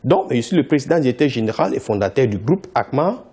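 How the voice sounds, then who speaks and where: Insistent man, lively pitch. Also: brisk, slightly rough. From Cameroon. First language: English. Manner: insistent, repeats his points. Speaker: company founder, interview guest. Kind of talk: TV interview answers.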